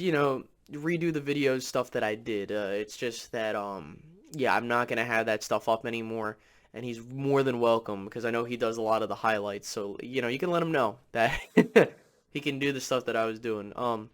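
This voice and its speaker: A man with a mid-pitched voice, a little raspy and stuffed up from a cold. He talks casually to his viewers as a sports channel host, loosely and hesitantly, working out his thoughts as he goes. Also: fairly bright tone. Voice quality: slightly raspy, nasal from a cold. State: under the weather, congested.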